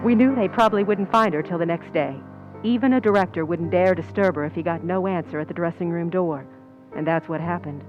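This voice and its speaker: A woman playing a scheming stand-in actress confessing to murder in an old-time radio suspense narration, with tense, deliberate delivery. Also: lowish voice, dull audio, natural voice. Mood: matter-of-fact. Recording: music under the voice.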